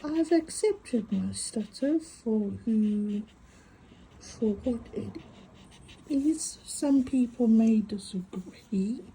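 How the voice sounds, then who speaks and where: A woman's voice: fairly high pitch, animated, extremely slow, dark tone, a little hiss or hum, rough recording. A woman with a stutter, chatting casually to camera while she draws and recorded on a phone. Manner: reflective, talks to herself while drawing. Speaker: tangle art vlogger.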